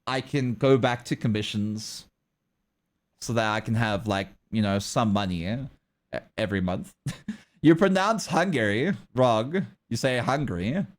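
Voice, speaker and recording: Chatty South African streamer. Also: male voice, mid-range voice, expressive, a little slow. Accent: South African English, mixed with Australian and American. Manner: rambling, chuckling often, talks back to chat. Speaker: streamer and artist. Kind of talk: casual livestream chat.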